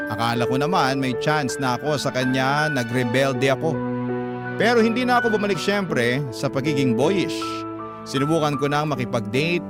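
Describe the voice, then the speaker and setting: A man reading a scripted first-person love story as a radio storyteller. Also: mid-range voice. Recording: loud music bed under narration. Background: loud instrumental music.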